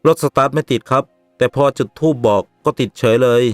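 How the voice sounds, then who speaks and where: A man acts out a respectful young village man in an animated tale. His voice is clear and earnest, dry, with faint music behind. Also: medium pitch, fairly quick, no room sound, close to an everyday voice. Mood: questioning, respectful. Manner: polite, earnest. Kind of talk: animated folk ghost story dialogue.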